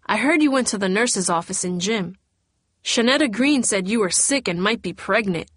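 A woman's voice: mid-range voice, expressive, moderate pace, a bit bright, dry recording, studio quality. A woman voicing a brash, streetwise teenage girl. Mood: pushy. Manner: brash, slangy.

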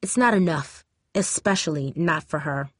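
A woman's voice: low-pitched, moderate pace, dry recording, studio quality. A woman voicing a spiteful teenage bully in a story, huffy and snarling or falsely sugary. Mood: snarling disdain. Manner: huffy, hissing, sugary when faking.

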